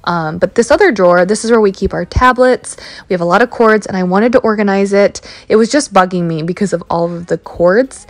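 A woman's voice: medium pitch, fairly quick, dry recording. A woman in her thirties narrating a home cleaning vlog in a casual, chatty way, with many fillers. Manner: chatty, relaxed, with many fillers like "um" and "you know". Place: home kitchen.

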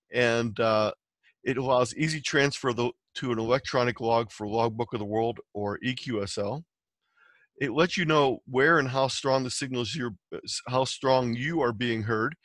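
A man's voice: mid-range voice, a bit bright, no echo. A man giving an explanatory slide lecture in a plain-spoken, matter-of-fact, instructional way. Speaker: amateur radio presenter.

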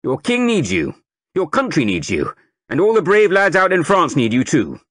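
A man's voice: a little high, wildly swooping pitch, normal speed, fairly bright tone, no echo, studio quality, voice pushed up, full character voice. A man voicing a blustering middle-aged army sergeant major who whips up a crowd with a rousing recruiting speech.

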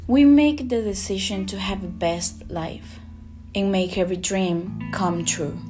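Student from Costa Rica, reads her script slowly, guitar bed under her. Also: mid-range voice, dark tone. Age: young adult. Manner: slow, earnest reading. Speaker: student podcaster. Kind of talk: scripted reading.

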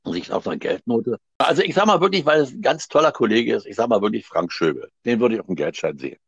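A man's voice: medium pitch, big pitch swings, quick pace, vocal fry, slightly rough, no room sound. A man in his seventies, a guest actor talking by phone; he hesitates and searches for his words. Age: 70s. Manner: hesitant, laughing, hunting for words. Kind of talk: phone interview. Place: home, on the phone. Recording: dull phone line, dry.

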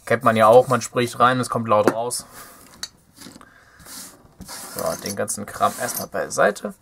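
A man's voice: mid-range voice, fairly even intonation, moderate pace, gravelly. Man thinking aloud, noisy recording. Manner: thinks aloud while working, playful muttering. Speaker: DIY electronics video host. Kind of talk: casual hands-on teardown commentary. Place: indoor workbench.

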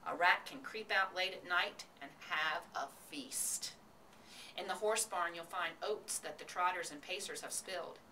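A knowing old sheep from a children's tale, voiced by a woman narrator, coaxing in measured, persuasive phrases. The voice is on the low side and bright, heard a little far from the mic. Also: a little hiss or hum. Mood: persuasive, relishing.

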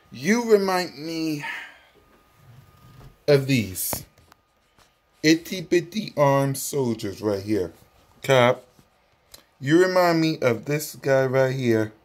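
Slow, high-pitched American man trash-talking. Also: expressive, slight background noise. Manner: mocking, repetitive, drawn-out delivery. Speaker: sports fan vlogger. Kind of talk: taunting fan vlog, casual trash talk. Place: small room indoors at home. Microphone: phone at arm's length.

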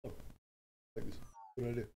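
Soft-spoken young man with a low buzz. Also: normal speed, poor audio. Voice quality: low buzzy voice. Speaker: podcast co-host. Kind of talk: casual chat.

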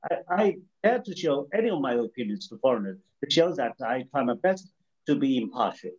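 Halting Chinese-accented man, dull lo-fi audio. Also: medium pitch, very animated, darkish tone. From China. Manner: halting, explanatory, with rhetorical questions. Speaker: panel speaker at a webinar. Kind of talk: extemporaneous argument in a policy discussion.

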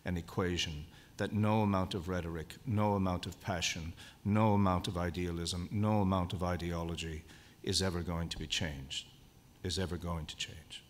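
Man, very deep voice, formal lecture, measured and emphatic, long hall reverb. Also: fairly even intonation. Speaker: conservation speaker at a convention.

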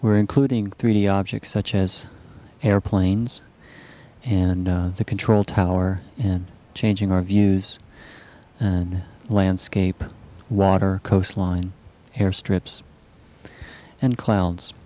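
A man narrating a software demonstration as a researcher, explaining it in a halting, measured way. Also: deep voice, flat delivery. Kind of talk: live demo narration of a computer animation.

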